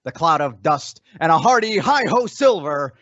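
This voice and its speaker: A man narrating a western in theatrical old-time radio style, in a measured way. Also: a little high, sing-song, a bit bright, dry recording, highs cut off. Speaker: radio drama announcer and narrator.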